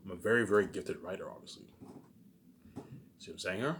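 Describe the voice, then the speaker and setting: A man's voice: low-pitched, brisk, background noise. A man ranting alone to his viewers, profane and boastful, who keeps circling back with repeated words. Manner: repetitive, keeps adding "obviously". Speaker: self-published writer vlogger. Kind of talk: rambling solo rant to viewers.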